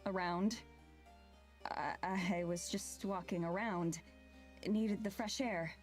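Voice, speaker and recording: A woman's voice: lowish voice, steady tone, slow. Hesitant young woman over music. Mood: guarded, evasive. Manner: hesitant, soft-hearted. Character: earnest young woman, gentle, shy and secretive. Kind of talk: visual novel voice acting. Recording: background music behind the voice.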